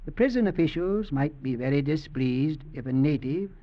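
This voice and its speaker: Man acting a sly, higher-voiced prison villain. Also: lively intonation, natural voice. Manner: silky, deliberate, condescending. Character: scheming, domineering male prison villain, coldly sly. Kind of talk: 1940s-50s radio acting. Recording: muffled old radio broadcast.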